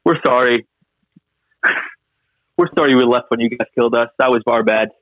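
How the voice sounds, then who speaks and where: A man's voice: mid-range voice, moderate pace, dry recording, narrow, tinny audio. Podcast guest: young man from Syria, dry wit, very close dry sound. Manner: dry, joking storyteller. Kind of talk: casual chat.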